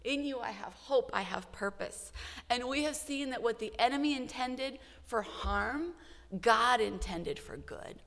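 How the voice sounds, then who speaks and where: A woman's voice: fairly high pitch, expressive, unhurried, creaky, a bit bright, long reverb tail. A woman in her forties, a missionary telling a heartfelt story of her work to supporters. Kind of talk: church missions update.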